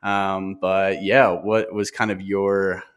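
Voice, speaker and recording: A man's voice: sits low, little pitch movement, slow pace, smooth. A man hosting a podcast in a casual, conversational style, introducing and asking questions. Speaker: podcast host.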